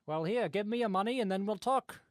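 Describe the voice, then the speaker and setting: A man's voice: high voice, brisk, heavy background noise, natural voice. A man playing a chatty, eccentric market farmer in a radio comedy sketch, rambling in a folksy, matter-of-fact way. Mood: folksy sales patter.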